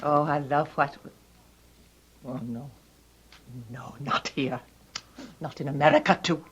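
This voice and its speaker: Frightened foreign ballerina, husky-voiced. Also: female voice, sits low, steady tone, slow pace, heavily acted. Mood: flustered, alarmed. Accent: foreign European. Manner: hesitant, fearful, theatrical. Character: frightened, dramatic émigré female ballet dancer seeking asylum. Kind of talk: old-time radio drama acting. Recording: dull lo-fi radio drama recording.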